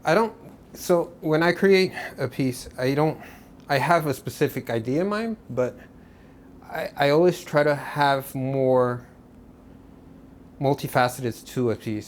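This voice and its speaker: Laid-back artist guy, portugal-raised, meanders and restarts a lot, bit of hiss behind. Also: medium pitch. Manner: relaxed, rambling, many restarts and fillers. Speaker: artist guest. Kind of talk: casual interview.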